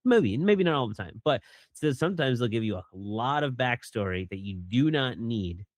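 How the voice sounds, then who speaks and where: Animated man, dry close voice. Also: normal speed, no echo. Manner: animated, jumps into a higher voice when quoting people. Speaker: voice actor guest. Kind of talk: casual interview chat.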